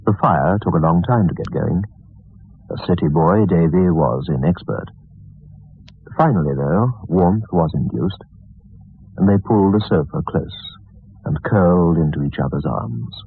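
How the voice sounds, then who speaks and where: An actor as the grave storyteller of a radio mystery, narrating in a measured, literary and dramatic manner. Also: male voice, low-pitched, animated, gravelly, slightly rough, dry recording, full character voice. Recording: muffled, dull vintage radio recording.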